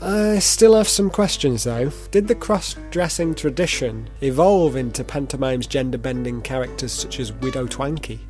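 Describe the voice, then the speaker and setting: A man's voice: medium pitch, moderate pace, few pauses, a little mellow, soft background music. A man narrating a video essay in a playful, theatrical manner, with informal asides. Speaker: presenter.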